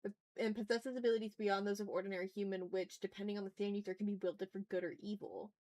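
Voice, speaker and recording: A woman chatting casually as she hosts an art livestream, talking to her viewers in an animated, slangy, teasing way with some swearing. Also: medium pitch, fast. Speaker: livestream host and artist. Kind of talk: casual art livestream chat, reading and answering chat messages.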